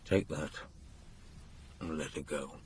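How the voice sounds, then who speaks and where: An actor in a radio drama playing a gruff, dejected married man protecting his lover, with a very husky voice. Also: very deep voice, takes it slowly, background noise, heavily acted. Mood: anguished, hushed.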